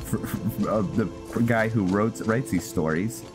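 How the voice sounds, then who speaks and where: Slow, low-voiced man commentating on gameplay. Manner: hesitant, rambling, thinking aloud. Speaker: gaming video host; roleplay story writer. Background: music.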